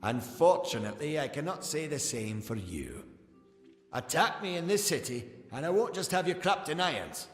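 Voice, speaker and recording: Smug, theatrical villain scholar, swooping delivery. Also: male voice, a little high, sing-song, moderate pace. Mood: mocking, threatening. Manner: condescending, taunting, theatrical. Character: smug, menacing male gnome scholar villain. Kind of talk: scripted fantasy game dialogue. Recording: echoey hall reverb, music behind.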